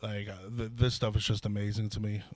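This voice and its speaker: A rapper with a low voice chatting casually and curiously, rambling with many fillers. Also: male voice, little pitch movement, fairly quick. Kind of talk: casual studio chat. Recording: loud music playing behind.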